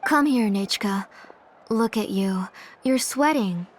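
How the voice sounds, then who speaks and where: A woman's voice: medium pitch, measured pace, lightly acted. A woman playing a caring, practical young girl's mother in a video game dub. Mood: fussing, tender.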